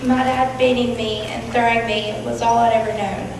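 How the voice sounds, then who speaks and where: Flat, slightly high young woman, echoing hall. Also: normal speed. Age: teenager or young adult. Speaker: student performer. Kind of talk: rhymed monologue, recited. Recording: echoey hall from far off, noisy.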